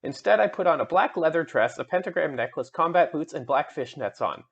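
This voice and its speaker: A melodramatic goth vampire schoolgirl , as acted out by a man, tells her fan fiction story. The voice is raspy and overwrought, with petulant emphasis. The recording is a little dull and lo-fi. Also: on the high side, expressive, a little fast, full character voice. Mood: earnest, dramatic. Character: melodramatic seventeen-year-old goth vampire schoolgirl narrator. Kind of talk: dramatic fan fiction reading.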